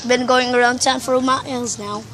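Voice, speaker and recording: A fairly high-voiced woman with a Filipino accent, chatting in a loose, excitable, think-out-loud way. She films on a phone outdoors, and steady background noise and passing traffic run under her voice. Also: normal speed. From Philippines. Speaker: vlogger. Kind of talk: casual vlog commentary.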